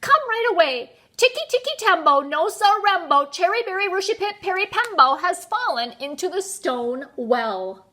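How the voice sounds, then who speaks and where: A woman's voice: high-pitched, animated, moderate pace, fairly bright tone. A woman voicing a frantic, breathless, dutiful little boy in a children's storybook. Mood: shouting, urgent. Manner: gasping, panting, stumbling over words. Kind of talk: children's storybook read-aloud.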